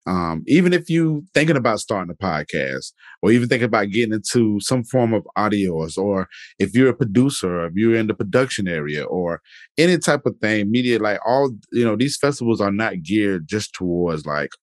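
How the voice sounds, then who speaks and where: A man's voice: on the low side, expressive, fairly quick, no room sound, clean studio sound. A man hosting a podcast interview, talking loosely with lots of fillers and restarts. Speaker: podcast host. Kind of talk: casual interview chat.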